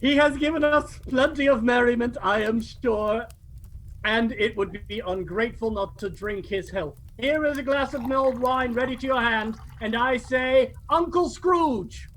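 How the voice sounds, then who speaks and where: A man's voice: very high-pitched, measured pace, fairly bright tone, heavily acted, low-quality recording. Hearty young Christmas party host played by a man. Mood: merry. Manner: hearty, toasting, declamatory. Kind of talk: audio drama acting.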